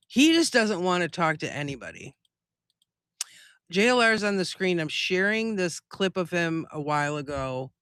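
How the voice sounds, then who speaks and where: A man's voice: on the high side, fairly bright tone, smooth, no room sound, clean studio sound. A man hosting a live stream in a casual, chatty style, telling things in a rambling, conversational way.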